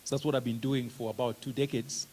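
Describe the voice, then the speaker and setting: A middle-aged Kenyan man with a Kenyan accent giving a relaxed, joking conference talk as a professor of special education, with plenty of "uh" fillers. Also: mid-range voice, fast talker, a little hiss or hum. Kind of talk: conference talk, self-introduction. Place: conference hall.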